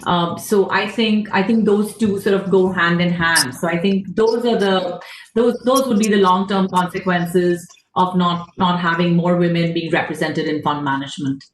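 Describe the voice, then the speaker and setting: A woman's voice: lowish voice, fairly even intonation, moderate pace, a little hiss or hum. Woman panelist in an echoey room. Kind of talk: panel discussion answer.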